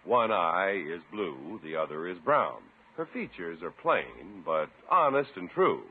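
American guy doing 50s radio announcing, lively pitch, slightly husky, emphatic pitchman, tinny old recording. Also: measured pace.

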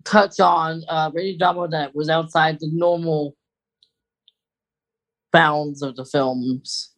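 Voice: mid-range voice, steady tone, takes it slowly, a bit bright, poor audio. An American podcast co-host chatting casually in an informal, rambling, conversational way.

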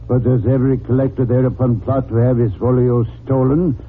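A man's voice: medium pitch, level tone, a little fast, lightly acted. An imperious genius detective played by a male actor in a 1940s radio mystery. He is formal, precise and utterly unflappable. The old recording is tinny and faintly hissy. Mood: commanding, accusing. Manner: commanding, precise, formal, dismissive. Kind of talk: 1940s radio drama acting.

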